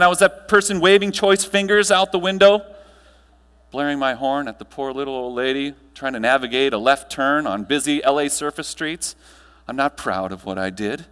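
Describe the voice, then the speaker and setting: A man's voice: on the high side, fairly bright tone, smooth. American man giving a speech in an echoey hall. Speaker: motivational keynote speaker. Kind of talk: rehearsed personal-story keynote speech on stage. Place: large indoor hall, speaking on stage. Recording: echoey hall with mains hum.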